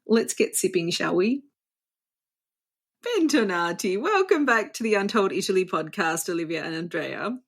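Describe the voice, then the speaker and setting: A cheerful woman hosts a travel podcast in an easy, chatty way. Her pitch sits in the middle of the range. She likes to greet her listeners with a few Italian words. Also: expressive, normal speed, vocal fry, husky. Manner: upbeat, sprinkles Italian greetings. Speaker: podcast host. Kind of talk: casual interview chat.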